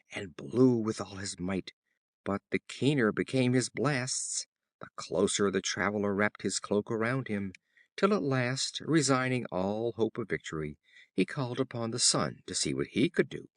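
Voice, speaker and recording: A man reading children's fables as an audiobook narrator, in a measured, formal storybook style. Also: fairly low pitch, dry recording, clean studio sound.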